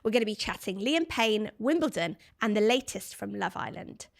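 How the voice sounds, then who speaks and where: A woman hosting a showbiz podcast, bubbly and gossipy, firing quick questions. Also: medium pitch, expressive, vocal fry. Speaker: podcast host. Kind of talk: casual showbiz chat.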